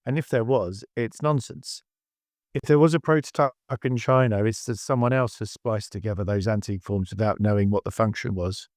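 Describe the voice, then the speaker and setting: A man's voice: on the low side, brisk, a little mellow, no room sound, clean studio sound. A man who speaks firmly and argumentatively in a discussion. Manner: firm and argumentative.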